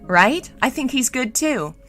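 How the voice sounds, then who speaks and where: A woman's voice: sits high, sing-song, a little slow, fairly bright tone, faint music behind, pitched above the performer's own voice, heavily acted. A woman voicing a composed young crown prince in a novel reading, firm, earnest and chiding in his exasperation. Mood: pleased.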